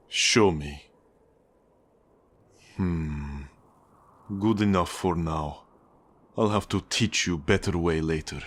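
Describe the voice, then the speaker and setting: A man playing a cold, manipulative Russian mafia boss in an ASMR roleplay, with a Russian accent, a husky low voice and a measured, condescending, menacing delivery. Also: a bit bright, full character voice. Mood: stern, impatient.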